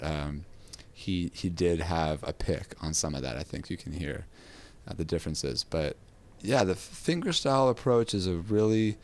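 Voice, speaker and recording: A man hosting a casual podcast about guitar, his voice raspy from a cold, talking in a relaxed, rambling way with many fillers. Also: sits low. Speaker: podcast host.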